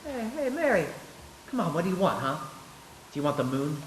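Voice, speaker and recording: A man acts an earnest, boyish young fellow in an old-time stage radio drama. He is folksy and excitable, high-voiced and very animated, in an echoey room. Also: a little fast. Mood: playful, earnest. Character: eager, restless young small-town man, boyishly earnest. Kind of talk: live 1940s-style radio play acting. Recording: echoey stage, background noise.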